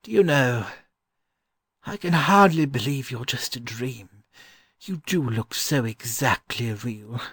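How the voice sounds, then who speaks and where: A man voicing a gentle, wistful old scholar in an audiobook, musing fondly and haltingly. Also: mid-range voice, slightly rough, no echo, clean studio sound, pitched above the performer's own voice. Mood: confiding, wondering. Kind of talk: audiobook character voice.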